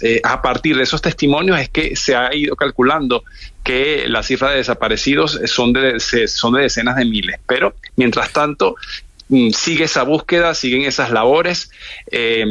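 A man with a Venezuelan accent giving an unscripted live news report, halting and repeating words as he searches for phrasing. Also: medium pitch, bright, forceful tone, no room sound. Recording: dull, narrow-band remote audio link.

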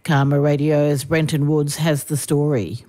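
Brisk woman reading news. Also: sits low, flat delivery, dark tone. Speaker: radio news presenter. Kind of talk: scripted news read.

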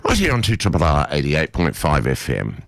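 A man's voice: extremely low pitch, huge pitch swings, normal speed, vocal fry, no echo. An Australian man with a big, strong voice presenting local talk radio in a chatty, playfully theatrical way.

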